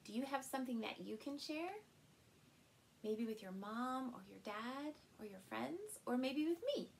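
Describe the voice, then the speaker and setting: A middle-aged woman with a fairly high voice tells a children's story very slowly. She reads expressively, playing up sound words and exclamations for young listeners. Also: gravelly, a little hiss or hum. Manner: animated, slow, with playful sound words and exclamations. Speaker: storyteller and channel host. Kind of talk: expressive picture-book read-aloud for small children. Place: small furnished room indoors.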